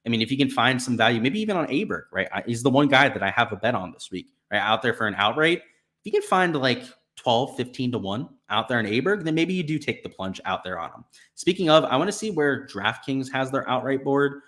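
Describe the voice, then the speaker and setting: Slightly raspy young man, sick. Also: medium pitch, big pitch swings, brisk, a bit bright. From Florida. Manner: casual, thinking aloud with fillers like "right" and "you know". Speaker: sports betting stream host. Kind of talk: casual live-stream talk.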